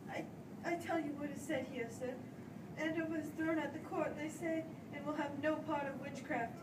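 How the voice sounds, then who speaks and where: An anxious Puritan man of the church played by a woman, high in pitch, stammering and pleading with his superiors. The amateur drama is heard from far off over clear background noise. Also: fairly even intonation, moderate pace, close to an everyday voice. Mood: anxious, insistent. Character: anxious, distraught Puritan minister, a grown man. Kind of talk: amateur stage-play acting. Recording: echoey room, far mic, hissy noise.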